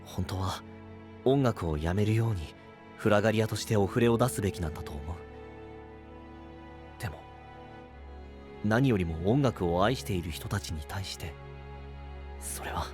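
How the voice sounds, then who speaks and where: A man playing a low-voiced, grave guardian knight. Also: lively intonation, over music, lightly acted. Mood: sorrowful, grave. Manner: measured, earnest, gentle. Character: grave, dutiful male guardian knight, burdened yet gentle. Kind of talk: Japanese anime-style voice drama acting.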